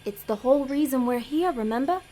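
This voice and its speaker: A woman's voice: high-pitched, animated, a little fast, mellow, slight background noise. An actress playing a bossy, scheming female demon in an audio drama, blunt, slangy and impatient.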